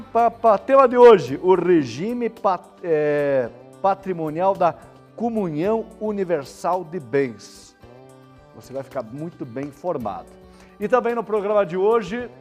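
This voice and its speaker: A man's voice: a little high, darkish tone. A man presenting a live variety show in a chatty, colloquial manner. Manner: chatty, colloquial, checks in with listeners. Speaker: television show host. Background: background music.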